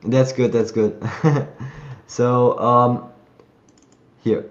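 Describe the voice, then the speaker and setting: A man hosting a live community call, chatting loosely and thinking aloud as he shows software on his screen. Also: medium pitch, little pitch movement, extremely slow, lo-fi sound. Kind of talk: casual screen-share tutorial.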